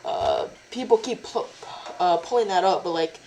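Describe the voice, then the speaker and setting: Rambling low-voiced young woman interviewer. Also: huge pitch swings, moderate pace, vocal fry, a little mellow, a little hiss or hum, rough recording. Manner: rambling, stumbling, with many likes and restarts. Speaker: interviewer, cosplayer. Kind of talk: casual online video chat.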